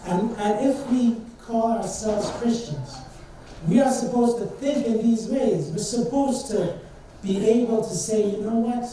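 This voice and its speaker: A man's voice: high-pitched, a little slow, warm tone. A young man from Trinidad giving an earnest, conversational sermon as a student speaker at a church service, asking his listeners questions. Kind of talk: informal sermon. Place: church sanctuary. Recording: distant and very echoey church hall, faint noise.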